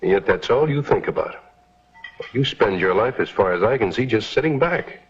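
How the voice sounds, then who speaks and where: An actor with an American accent playing a confident, forthright mine superintendent in a vintage Western drama. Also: male voice, on the low side, animated, normal speed, slightly rough. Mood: blunt, goading. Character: confident, blunt, courting mine superintendent, male. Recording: dull lo-fi vintage soundtrack, music behind.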